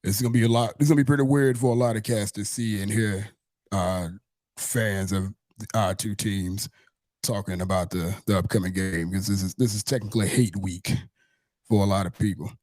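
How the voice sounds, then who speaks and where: A man with a low voice and a Southern US accent hosts a casual sports chat. He talks in a wordy, looping way, repeating phrases as he builds a question. The sound is dry, with little room to it. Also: fairly even intonation, moderate pace, a little mellow, no echo. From Mississippi, United States. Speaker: podcast host.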